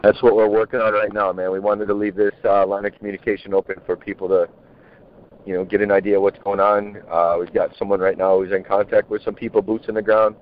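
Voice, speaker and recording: A man's voice: fairly low pitch, little pitch movement, fast talker, a little hiss or hum. A man talking casually and bluntly on a group conference call over the phone. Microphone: telephone. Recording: muffled tinny phone conference line.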